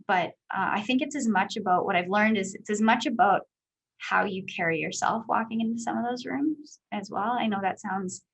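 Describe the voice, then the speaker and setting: Woman, thirties, high voice, candid, casual guest answers. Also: normal speed, creaky, breathy edge. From Canada. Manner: candid, chatty, many "like" and "um" fillers. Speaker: guest speaker, company executive.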